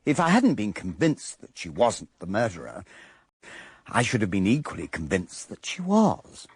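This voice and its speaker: An actor playing a polite, thoughtful English gentleman sleuth who narrates a radio mystery, well-spoken and earnest. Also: on the low side, huge pitch swings, moderate pace, slightly rough, dry recording, studio quality, full character voice. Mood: reflective.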